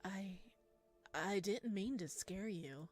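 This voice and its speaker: Woman voicing a timid, unhurried creature-man, eerily childlike. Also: mid-range voice, lively intonation, faint music behind. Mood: nervous. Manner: soft, childlike, pleading. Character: timid, eerie, lonely creature-man pleading for approval.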